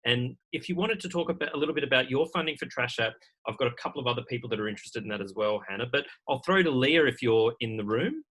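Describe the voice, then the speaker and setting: A man's voice: mid-range voice, lively intonation, fast talker, a bit bright, low-quality recording. A man with a polite, encouraging manner, informally moderating questions at an online event. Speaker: event host reading audience questions. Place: online video call.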